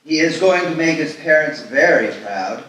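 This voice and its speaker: A man's voice: fairly high pitch, lively intonation, moderate pace, pushed and bright. A man playing a kindly older rabbi, proud and protective, speaking formally in a live screenplay table read. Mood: proud, warm. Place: live festival event, echoing room. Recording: echoey hall from far off, faint noise.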